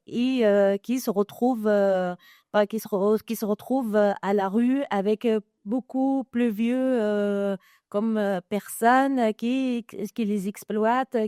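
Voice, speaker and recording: A woman's voice: medium pitch, dark tone, clean tone, no room sound, clean studio sound. A woman educator speaking at a panel discussion, hesitant, with many fillers and restarts. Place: conference room.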